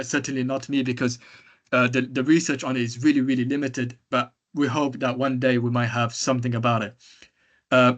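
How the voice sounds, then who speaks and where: A man giving a conference talk in a loud voice, hesitating often with fillers. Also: mid-range voice. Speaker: conference speaker. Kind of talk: presentation talk.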